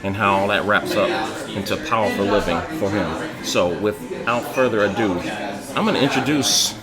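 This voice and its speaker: A man's voice: on the low side, normal speed, over a noisy crowd. A man from Detroit hosting a casual faith interview, curious and repeating answers back to his guest. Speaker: host.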